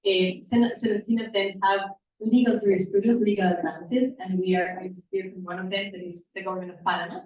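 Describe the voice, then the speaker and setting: A woman's voice: mid-range voice, steady tone, fairly quick, hardly any pauses, darkish tone. A woman from Ecuador with an Ecuadorian Spanish accent gives an earnest presentation to an audience as a panel speaker, often repeating words as she goes. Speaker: activist, panel speaker at a conference. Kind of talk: public presentation to a live audience. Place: indoor event room. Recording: echoey room, distant mic, background noise.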